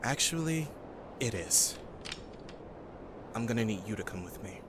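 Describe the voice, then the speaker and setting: A man's voice: on the low side, lively intonation, unhurried, noisy background. A man playing a guarded, stern young survivor in an audio drama, serious, terse and unamused. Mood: firm, threatening.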